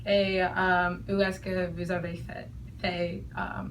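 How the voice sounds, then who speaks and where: A woman's voice: on the low side, little pitch movement, slow pace. A woman from the United States, a student interviewer, asking a question hesitantly while searching for words. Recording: distant mic, faint noise.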